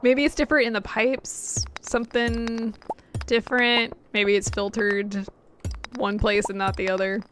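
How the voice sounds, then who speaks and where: A young adult woman streaming a game, chatting casually with lots of fillers, her voice getting hoarse after hours of talking. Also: a little high, little pitch movement, measured pace, over music. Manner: chatty, rambling, many fillers and laughs. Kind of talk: casual chat while gaming.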